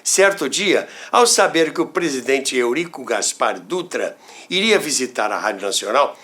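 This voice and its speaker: An older man with a Brazilian accent tells anecdotes in a theatrical, deliberate way, with dramatic pauses and asides to the listener. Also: mid-range voice, expressive, fairly bright tone. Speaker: storyteller, former radio worker. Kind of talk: animated anecdotal storytelling podcast narration.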